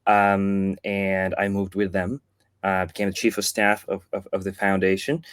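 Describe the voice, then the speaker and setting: A man from Argentina with an Argentine accent, his voice sitting low and heard dry and close over a video call. He talks in a casual, thoughtful way, often stopping to rephrase mid-sentence. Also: little pitch movement, measured pace, smooth, no echo. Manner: thoughtful, with frequent restarts and fillers. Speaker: guest, foundation chief of staff. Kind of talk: casual interview talk.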